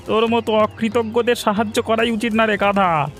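A high voice over light music: a man performing a young cartoon man who bullies and mocks. He is cocky and argumentative, always taunting whoever he talks to. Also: flat delivery, moderate pace, a bit bright. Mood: threatening, scornful. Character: cocky, mocking young man, bullying grudging friend. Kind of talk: cartoon moral-story acting.

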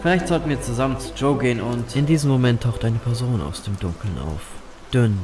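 A man's voice: mid-range voice, fairly quick, lightly acted. Casting: male actor as understated, laconic city reaper, narrator-hero of horror radio play, music underneath. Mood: brooding narration. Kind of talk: noir narration and audio drama dialogue.

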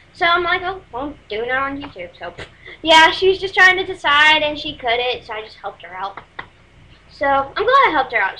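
Teenage girl, Texas accent, high voice, bright tone, chatty and apologetic, webcam mic in a small room. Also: poor audio. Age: teens. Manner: rambling, apologetic, many fillers. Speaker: music vlogger. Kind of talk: casual webcam review. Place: small room at home, indoors. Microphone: webcam built-in mic.

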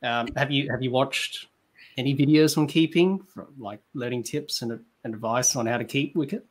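A young man with a medium-pitched voice hosts a podcast interview. He is agreeable and casual, asking questions from a room at home over a video call. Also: moderate pace. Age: young adult.

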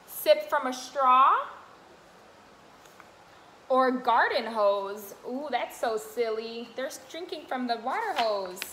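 A young woman speaks slowly to toddlers in a sing-song teaching manner, as if at storytime. Her pacing is unhurried, and she is recorded in an echoey classroom with faint room noise behind her. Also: high-pitched, expressive, pushed and bright. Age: young adult. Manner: sing-song, addressing small children with simple questions. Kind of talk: children's read-aloud and teaching to camera. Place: indoor classroom, sitting on the floor.

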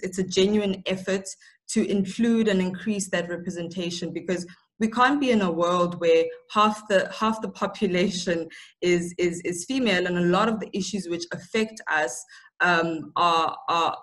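A woman in her thirties from South Africa, a guest speaker on a video call, talking thoughtfully with many fillers and repeated words. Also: fairly low pitch. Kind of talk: online talk, answering questions. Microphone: laptop webcam on a video call.

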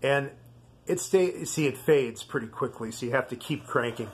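A man giving a casual product demonstration in a halting, rambling, easygoing way. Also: mid-range voice, slight background noise. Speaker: video host.

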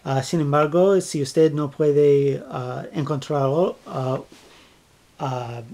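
Halting man with a learner's Spanish. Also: fairly high pitch, fairly even intonation, dark tone. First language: not Spanish. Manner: hesitant, many fillers and restarts, searching for words. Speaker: flag-craft tutorial maker. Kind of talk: halting how-to narration.

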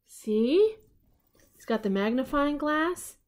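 A woman's voice: high voice, takes it slowly. A middle-aged woman reading a picture book aloud to children at home, with casual, questioning asides. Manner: animated, questioning, child-directed. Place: living room at home.

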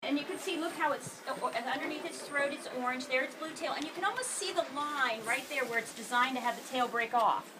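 Patient high-voiced woman teaching kids outdoors. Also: brisk, few pauses, noisy background. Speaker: nature educator for children. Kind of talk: explaining to young children. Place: outdoors by a farm pond. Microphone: handheld home video camera nearby.

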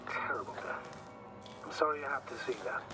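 An actor playing a grave, measured male space commander in a video game, speaking formally over a radio. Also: medium pitch, music in the background. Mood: grave, sympathetic. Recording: distant radio link with heavy noise.

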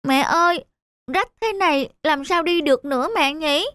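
An actor voicing a young schoolboy in a cartoon dub, scheming and petulant, with expressive delivery. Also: sits high, normal speed, no room sound, studio quality. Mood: whining. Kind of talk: cartoon dubbing. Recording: music behind the voice.

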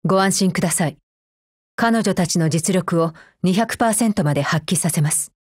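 Husky, cold female android soldier. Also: fairly low pitch, moderate pace, a little mellow, no room sound, studio quality. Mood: businesslike, composed. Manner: formal, clipped, stern and unemotional. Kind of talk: scripted mobile game character voice lines.

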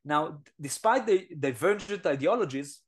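A man's voice: on the high side, animated, moderate pace. A middle-aged man with an Israeli accent, giving an earnest webinar talk from a small room over a headset, with many fillers and restarts. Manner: earnest, emphatic lecturing with frequent fillers and restarts. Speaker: guest lecturer. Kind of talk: webinar presentation. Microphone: headset on a video call.

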